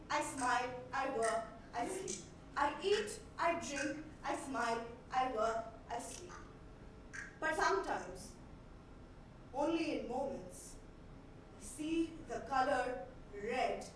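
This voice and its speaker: A woman performing a theatrical monologue as a haunted, unhinged woman, speaking deliberately with dramatic pauses, in a theatre. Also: sits high, expressive. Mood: tense, monotonous. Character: haunted, unhinged woman recalling her mother's death. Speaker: solo stage actor. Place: indoor theatre stage. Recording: echoey theatre stage, distant mic, noisy.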